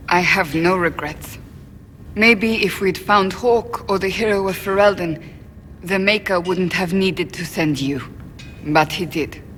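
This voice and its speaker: This is a stern, devout warrior with a hot temper in a fantasy game. She speaks slowly and gravely, with intensity, in a long reverb over faint noise. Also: lowish voice, a bit bright. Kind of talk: dramatic video game acting.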